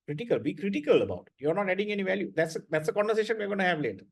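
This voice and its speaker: A man's voice: high-pitched, very animated, racing, gravelly, husky. A man lecturing students in an online class, blunt and repetitive, often checking with "right?".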